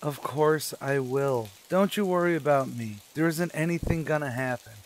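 An actor playing an anxious American man in his thirties in an old-time radio thriller, with measured, dramatic delivery. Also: fairly high pitch, close to an everyday voice. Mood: affectionate, reassuring. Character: anxious 36-year-old American man telling his eerie road story. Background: music.

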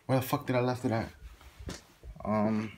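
Young male vlogger, mid-pitch, rambling and mumbling with curses, phone at arm's length, noisy recording. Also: steady tone, moderate pace. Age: young adult. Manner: rambling, mumbled asides, frequent cursing. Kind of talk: casual handheld vlog. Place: house indoors, then outdoors in a neighborhood. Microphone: phone held at arm's length.

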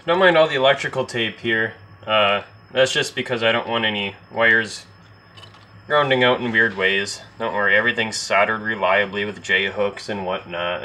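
A man's voice: on the low side, steady tone, normal speed, pushed and bright, a little hiss or hum. A man casually narrating a repair job as he works, thinking aloud in an offhand way. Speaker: hobbyist radio repairer. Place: indoor workbench.

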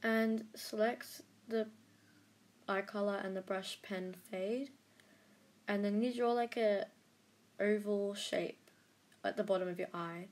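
A young woman talks through an art-app editing tutorial at her own pace. She hesitates, trails off and fills gaps with "um" and "like". Her voice sits at an ordinary middle pitch. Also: fairly even intonation, slow pace, pauses often, a little mellow. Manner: rambling, self-deprecating, hesitant with many fillers. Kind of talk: casual screen-recorded tutorial.

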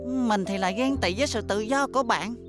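A timid little schoolboy, voiced by a woman for a children's cartoon. The voice sits high. He comes across as dutiful and overworked. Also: fairly quick, music in the background, lightly acted. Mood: glum, earnest. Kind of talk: children's cartoon dub acting.

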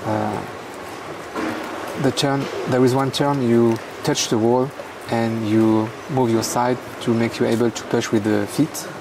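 A man with a French accent, a guest commentator, explaining swimming technique in halting, hesitant English. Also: mid-range voice, fairly even intonation, recorded from a distance, background noise. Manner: hesitant, halting, many fillers.

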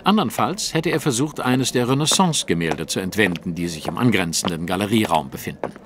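A haughty, swooping detective. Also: male voice, fairly low pitch, expressive, brisk, noisy background. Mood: analytical. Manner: precise, formal, lecturing. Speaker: voice actor in an audio drama. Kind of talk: German audio drama acting.

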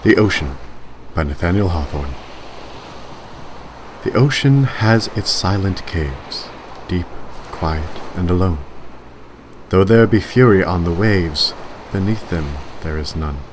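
A man giving a solemn, measured and grave recitation of poetry. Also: low-pitched, lively intonation. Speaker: poetry reader. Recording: clear background ambient noise.

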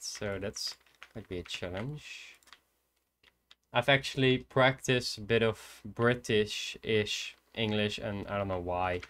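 An eighteen-year-old man with a Dutch accent, quietly thinking aloud as he streams a horror game from his computer desk. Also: medium pitch. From Netherlands. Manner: soft-spoken, hesitant, thinking aloud. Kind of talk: casual gameplay commentary. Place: indoors at a computer.

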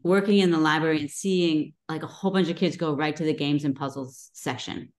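A middle-aged woman with a notably low voice talks through her background in a loose, chatty way, dotting her sentences with ums. She is speaking over a video call. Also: fairly even intonation, a little fast. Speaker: guest author. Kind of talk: casual video call talk.

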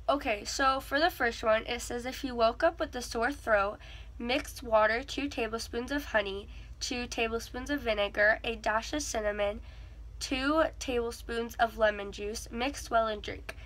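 A woman's voice: a little high, moderate pace, fairly bright tone, rough recording. A teenage girl with a cold and a congested nose, chatting casually to her channel from her bedroom, with lots of "like" fillers. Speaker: vlogger. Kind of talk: casual chat. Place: bedroom with a tapestry behind her.

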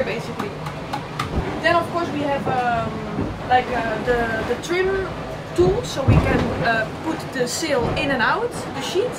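High-voiced young woman touring a yacht. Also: far from the mic. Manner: animated, gesturing while explaining. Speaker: sailor giving a boat tour. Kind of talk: casual explanatory tour. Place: cramped racing yacht cabin at sea. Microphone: on-board camera a little off. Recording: loud boat noise, voice off-mic.

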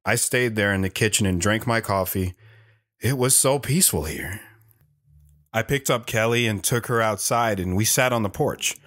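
Low-voiced brooding soldier played by a man. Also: moderate pace, a bit bright, natural voice. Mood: reflective. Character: reflective, stoic young soldier, husband and father. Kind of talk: audio drama first-person narration and dialogue. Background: guitar music.

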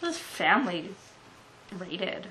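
A woman's voice: fairly low pitch, sing-song, slow, pushed and bright, a little hiss or hum, poor audio. A young woman guest in casual, sarcastic, complaining banter, recorded on a camera mic in a small home room. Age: young adult. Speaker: guest on cousin's vlog. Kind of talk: casual chat. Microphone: camera mic at about arm's length.

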